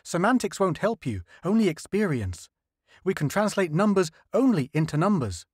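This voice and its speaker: A man's voice: high-pitched, very animated, normal speed, darkish tone, breathy edge, no room sound, clean studio sound, voice pushed up, exaggerated voice. A man voicing a fussy male scientist who explains technical details in a story reading. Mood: explaining. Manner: explanatory, lecturing.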